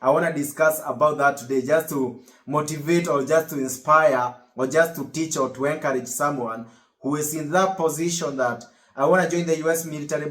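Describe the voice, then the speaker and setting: A young man with a Kenyan accent talks straight to his viewers, his voice sitting a little high for a man. He rambles, circles back and restarts phrases as he goes. The sound bounces around a reverberant room. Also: a bit bright. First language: Kikuyu. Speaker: vlogger. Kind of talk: casual motivational talk to camera.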